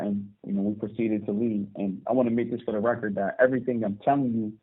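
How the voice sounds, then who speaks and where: A man in his thirties from Barbados, calling in from prison and telling his story calmly, with hesitant restarts. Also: lowish voice. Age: 30s. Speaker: guest. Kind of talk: phone interview. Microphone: phone call. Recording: tinny phone line.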